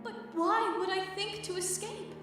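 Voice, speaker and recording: Trapped radio drama widow: very high, cavernous echo, prim and dutiful. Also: female voice, steady tone, unhurried, music in the background. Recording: echoey cavern reverb, slightly distant. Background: musical score.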